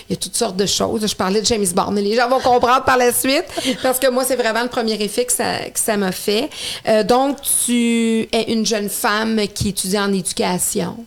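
A woman's voice: mid-range voice, vocal fry. A woman in her forties with a Québécois accent, hosting a podcast interview in a chatty way, with many fillers. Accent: Québécois French. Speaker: host.

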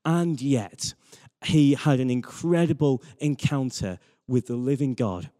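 Male preacher, mellow tone, earnest emphatic sermon delivery, long hall reverb. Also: medium pitch, unhurried.

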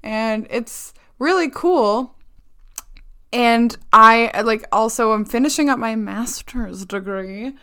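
Young female co-host, unhurried delivery, smooth clear voice, heavy on fillers. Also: on the high side, pushed and bright. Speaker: podcast co-host. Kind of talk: casual chat. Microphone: video call.

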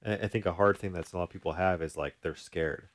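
A man's voice: low-pitched, fast talker. A man in casual podcast conversation, explaining things informally as a therapist would. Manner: informal, explanatory, voices hypothetical client worries.

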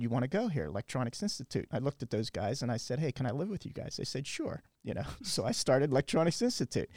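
A man's voice: medium pitch, a little mellow, no room sound, studio quality. Rambling older man from Pennsylvania. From western Pennsylvania. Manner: rambling, many fillers and restarts. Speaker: podcast guest. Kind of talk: casual storytelling.

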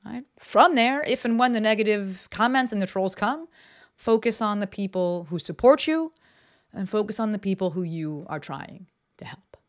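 A woman's voice: medium pitch, lively intonation, moderate pace. Blunt, swearing podcast host, woman. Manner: blunt and profane, lots of fillers. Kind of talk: casual solo podcast talk. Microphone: desk microphone.

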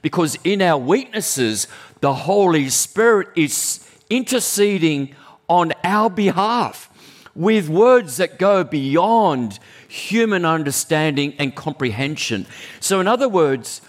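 A man preaching a sermon in a conversational, animated way, breaking into sudden high exclamations. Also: slow pace.

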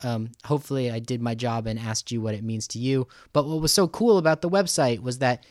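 A man's voice: mid-range voice, a little fast, dry recording, clean studio sound. A man hosting a casual conversational interview, talking in a rambling, enthusiastic and self-deprecating way. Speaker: radio show host.

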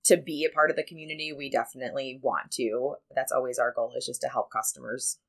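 A woman's voice: rumbling low, steady tone. A woman, answering as an upbeat guest in a podcast interview. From Peru, Illinois, United States. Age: 30s. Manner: upbeat, quick to laugh. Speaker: podcast guest, small business owner and insurance agent. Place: studio in an office.

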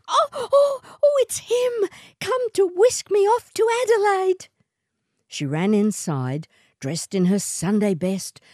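A woman reading a short story as narrator, in an expressive scripted storytelling style. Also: on the low side, no room sound, clean studio sound.

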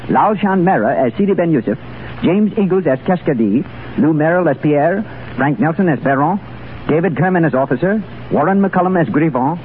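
Lively male 1930s radio announcer. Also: fairly high pitch. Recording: tinny, hissy 1930s radio broadcast.

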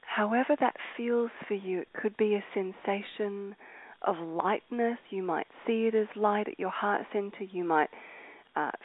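A woman guides a meditation at an unhurried, drawn-out pace, her soothing voice sometimes sinking to a whisper. The sound is dry and close, with a narrow, tinny, phone-like band. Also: medium pitch, no room sound. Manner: slow, soft and soothing, drifting into whispers.

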